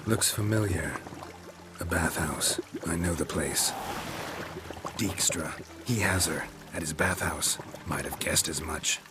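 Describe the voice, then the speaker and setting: A man playing a gruff, stoic monster hunter in a video game, terse and dry-witted with a gravelly, deadpan delivery. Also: low-pitched, slow pace, very noisy. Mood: dry, measured. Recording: game music behind the voice.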